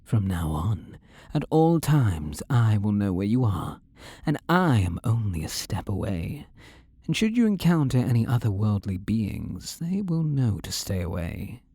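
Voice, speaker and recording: A man's voice: fairly low pitch, very animated, mellow, husky, no echo, studio quality, heavily acted. A man acting a possessive, seductive demon lover in an intimate audio roleplay, commanding and sinister, sometimes sinking toward a whisper. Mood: commanding, tender. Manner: slow, commanding, sinister purr, often dropping to whispers.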